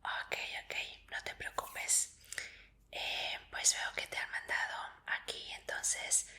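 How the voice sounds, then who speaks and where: A woman whispers in Spanish, very bright and crisp in tone. She takes her time, gently reassuring the listener in a breathy ASMR roleplay as an official examiner. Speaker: ASMR roleplayer playing a martial arts tournament examiner.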